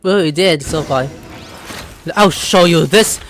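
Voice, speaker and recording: A man doing playful, excitable gaming commentary, full of exclamations and called-out moves. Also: a little high, slow. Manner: playful, exclaiming, shouts attack names. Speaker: gameplay YouTuber. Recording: game music behind commentary.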